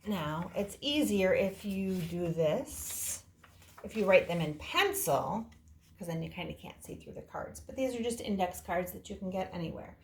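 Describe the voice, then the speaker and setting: A woman's voice: lowish voice, expressive, moderate pace, vocal fry. Woman teaching children, echoey room. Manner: simple, child-directed explaining with casual wording. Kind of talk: informal children's lesson and story time. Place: indoor room. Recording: echoey room, faint noise.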